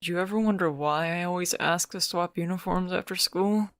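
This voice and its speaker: A woman's voice: on the low side, brisk, a bit bright, clean tone, no echo. A woman voicing a sarcastic, anxious young transfeminine friend, sceptical and a little whiny, in an audio story. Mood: sincere.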